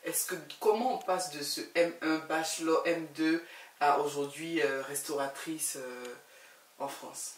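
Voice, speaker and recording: A woman's voice: sits low, measured pace, soft background music. A woman hosting a conversational interview, often repeating and rephrasing what she asks. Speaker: talk show host, interviewer. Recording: roomy, distant mic, faint noise.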